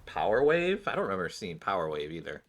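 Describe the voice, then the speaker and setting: A man's voice: low-pitched, very animated, fast talker, a bit bright. A man streaming a game, narrating story scenes and muttering his own casual asides about what he sees. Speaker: game streamer reading out cutscene dialogue. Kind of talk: game commentary and expressive dialogue reading.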